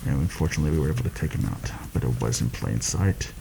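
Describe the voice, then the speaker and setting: A man playing a shrewd, secretive mercenary planner in a soft-spoken ASMR fantasy roleplay, confiding and deliberate in a slightly formal way. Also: lively intonation, noisy background, heavily acted. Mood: grave, cautious. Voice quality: low, gravelly, soft-spoken. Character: shrewd, secretive male mercenary plotting a royal assassination.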